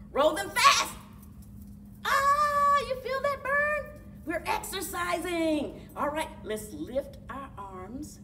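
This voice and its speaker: A middle-aged woman speaking to young children as a story time host, with exaggerated, drawn-out storyteller delivery. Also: high-pitched, recorded from a distance, noisy background. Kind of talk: expressive picture-book read-aloud and leading action activity for children. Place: indoors, children's library room.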